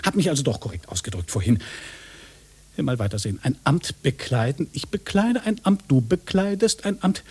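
A husky, vain would-be seducer in uniform. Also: male voice, fairly high pitch, very animated, normal speed, a little mellow, heavily acted. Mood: pedantic, amused. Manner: pompous, pedantic, theatrical. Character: vain, pushy fake policeman, lecherous self-styled seducer. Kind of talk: German radio play acting.

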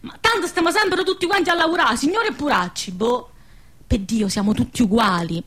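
A woman acting as a high-pitched peasant woman in a radio play. She speaks a rural central Italian dialect, earthy and complaining, a weary peacemaker among her workmates. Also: fairly quick, fairly bright tone, slightly rough. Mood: exasperated.

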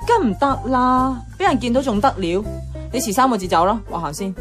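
An actress playing a bossy, teasing young flatmate in a Cantonese radio drama, with a Hong Kong accent and a blunt, playful, colloquial way of talking. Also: female voice, a little high, fairly quick, music in the background, close to an everyday voice.

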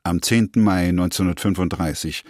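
A man narrating a scripted historical documentary drama with a husky voice. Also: low-pitched, fairly quick, no room sound, clean studio sound.

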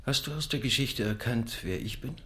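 An actor playing a proud, enigmatic Ottoman miniature painter who tells parables in a measured, deliberate way in a radio drama. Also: medium pitch, lively intonation, pushed and bright, a little hiss or hum. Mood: probing, guarded. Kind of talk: literary radio drama storytelling. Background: music.

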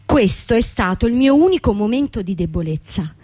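An actress in a radio play playing a young company boss, bankrupt, desperate and defiant, telling her story with halting, repeated words. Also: a little high, sing-song, mellow. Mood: ashamed, subdued. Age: young adult.

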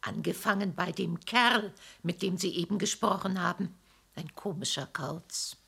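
A woman's voice: fairly low pitch, a little slow, vocal fry, pushed and bright, husky, exaggerated voice. An actress playing a nosy, self-righteous neighbor woman in a radio play, indignant and theatrical. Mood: gossiping.

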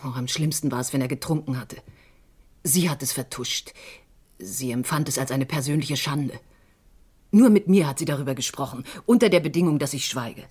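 A haunted woman in a radio crime play. Her voice is very low and husky. She confides with grave intensity, placing each phrase deliberately. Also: a little mellow. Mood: grave, urgent. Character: troubled stage actress, grieving sister convinced of murder. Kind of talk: German radio crime drama acting.

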